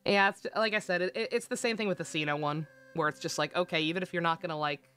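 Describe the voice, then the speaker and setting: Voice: medium pitch, fast talker, bright, forceful tone, smooth, faint music behind. A game streamer giving casual, rambling live commentary, peppered with swearing and exclamations.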